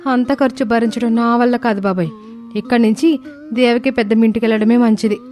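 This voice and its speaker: A woman voicing a stingy, scheming young daughter-in-law in an animated moral story, pushy and exaggerated. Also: fairly high pitch, a little fast, faint music behind, voice pushed up, lightly acted. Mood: dismayed.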